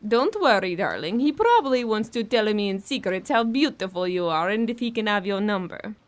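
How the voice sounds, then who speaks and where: A woman's voice: mid-range voice, expressive, fairly quick, lightly acted. An actress playing a tough, sardonic Bulgarian woman minding a child in an audio horror drama, coaxing and wry. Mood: falsely sweet.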